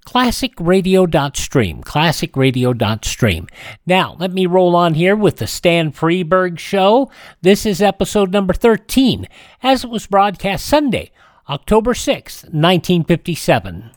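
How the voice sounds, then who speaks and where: A man hosting a radio programme with casual, halting, unscripted announcements. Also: high voice, sing-song, no room sound, clean studio sound. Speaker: host of a classic radio programme.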